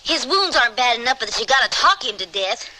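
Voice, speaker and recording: Radio western role: defiant young rural woman, high, sharp and highly animated. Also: fast talker. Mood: angry, scolding. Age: young adult. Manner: blunt rural speech, scolding. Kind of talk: old-time radio western acting. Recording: slightly noisy radio drama recording.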